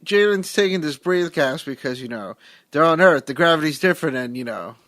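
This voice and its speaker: A man's voice: a little high, lively intonation, a little fast, fairly bright tone, dry recording. A man co-hosting a podcast, asking questions and chiming in during a casual movie chat. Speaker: podcast co-host.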